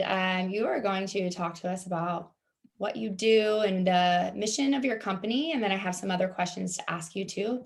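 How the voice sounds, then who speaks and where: Woman host doing a casual interview, medium pitched voice. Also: slight background noise.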